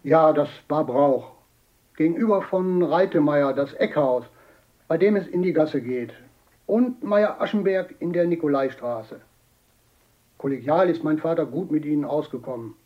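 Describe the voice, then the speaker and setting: Actor as husky, blunt old butcher. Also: male voice, fairly high pitch, normal speed, lo-fi sound, natural voice. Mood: assured. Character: elderly butcher, plain-spoken eyewitness with blunt opinions. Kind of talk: documentary radio drama, interview testimony.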